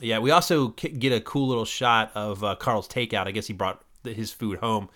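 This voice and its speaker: A man's voice: fairly low pitch, moderate pace, a bit bright. A man co-hosting a podcast, retelling sitcom scenes in a rambling, casual way with lots of fillers. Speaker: podcast co-host recapping a sitcom episode. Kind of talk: casual chat.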